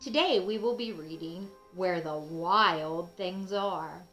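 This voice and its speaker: A woman reads a picture book aloud for young children. Her voice is bright and slow-paced, with emphatic, expressive storytelling and simple wording. Soft music is behind her. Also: fairly low pitch. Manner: asks young listeners questions, explains simply. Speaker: children's read-aloud host.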